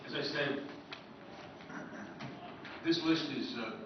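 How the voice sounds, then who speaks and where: Author at a convention seminar, man, casual lecture, distant mic in a big echoey room, muffled with steady hiss. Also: on the high side, slow. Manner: rambling, listing items, friendly asides to the audience. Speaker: author giving a convention talk. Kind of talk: informal lecture to a live audience.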